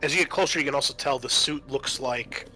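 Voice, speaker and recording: A man narrating a tabletop roleplaying game as game master, in a halting, descriptive manner. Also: mid-range voice, pushed and bright, slight background noise, poor audio.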